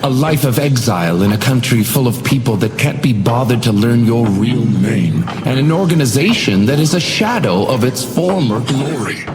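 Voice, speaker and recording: A man acting as a genteel video game doorman with silky menace, his lines swooping theatrically up and down in a medium-pitched voice. He taunts his guests in measured monologues, echoing over clearly audible music. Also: expressive, natural voice. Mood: cutting. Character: sinister, genteel hotel doorman tormenting guests.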